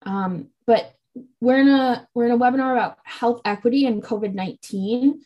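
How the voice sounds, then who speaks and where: A woman's voice: on the high side, normal speed, mellow, highs cut off. A woman hosting a public health podcast, speaking in a casual way with a few fillers. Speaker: podcast host.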